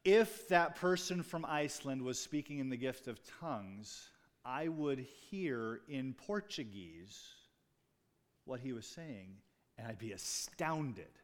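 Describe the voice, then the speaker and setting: A man's voice: mid-range voice, lively intonation, slow. A man preaching a sermon as pastor, talking conversationally with asides and jokes to his congregation. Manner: restarts and fillers, speaking off the cuff.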